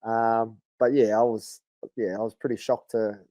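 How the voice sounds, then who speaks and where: Laid-back Kiwi man, mellow voice. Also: on the low side, normal speed, dry recording. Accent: New Zealand. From New Zealand. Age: 30s. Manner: laid-back, relaxed storytelling with frequent "bro" and fillers. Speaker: guest, rugby league player. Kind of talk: casual podcast chat.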